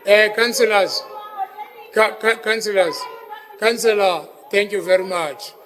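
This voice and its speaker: A South African man chairs a council meeting, repeating himself as he calls councillors to order in a fairly high voice. The chamber has a long echo, with a faint crowd murmuring behind him. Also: unhurried, a bit bright.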